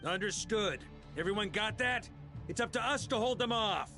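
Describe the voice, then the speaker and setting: Bright, commanding male knight leader. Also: sits high, soft background music. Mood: rousing, battle-fired. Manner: commanding, brisk, informal. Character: hearty commanding male knight grandmaster, battle-eager leader. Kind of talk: video game voice acting.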